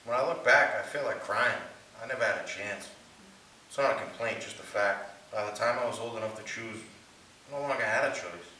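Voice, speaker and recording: Male testimony reader, rehearsed dramatic delivery, sharp lowish voice, very reverberant distant recording with faint hiss. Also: brisk. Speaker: stage reader telling his life story. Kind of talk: rehearsed dramatic monologue reading. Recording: very echoey room, distant mic, faint hiss.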